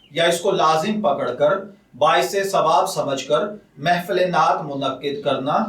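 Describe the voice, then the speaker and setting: A man giving a religious ruling in a measured, formal way, answering a viewer's question as host of the program. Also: a little high. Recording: echoey room, voice a bit far from the mic.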